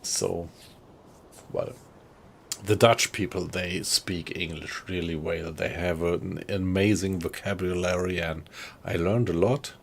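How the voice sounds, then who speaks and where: A middle-aged man from Germany with a German accent, chatting in a friendly, halting way with viewers on a live stream. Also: low voice, fairly even intonation, gravelly, slight background noise. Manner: thoughtful, friendly, halting with fillers.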